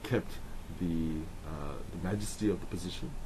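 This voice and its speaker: Low-voiced man, halting and thoughtful. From Singapore. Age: 40s. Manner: hesitant, many ums and restarts. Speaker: interviewee. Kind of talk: casual interview answers. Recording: noisy background.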